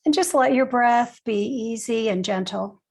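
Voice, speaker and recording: An older woman leading a guided meditation, speaking gently and leaving long pauses between short phrases. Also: a little high. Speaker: guided meditation teacher, nurse and educator.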